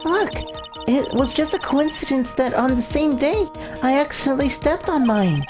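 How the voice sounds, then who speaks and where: A woman's voice: high-pitched, expressive, moderate pace. A woman voicing an earnest, scrappy young policewoman in a video game, emphatic and stumbling over words. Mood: defensive. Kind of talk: voiced video game playthrough. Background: video game music.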